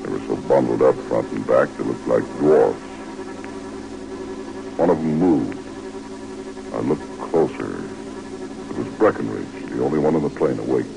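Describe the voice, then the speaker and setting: An actor playing a gruff, terse airborne officer in a vintage radio drama, with a gravelly voice. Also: male voice, a bass voice, lively intonation, normal speed, pauses often, music in the background, heavily acted. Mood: grave, tense. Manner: terse, commanding. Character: gruff male airborne officer narrating a night parachute jump. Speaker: narrator and officer. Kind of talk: 1940s-50s radio drama acting. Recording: noisy old radio broadcast with engine drone.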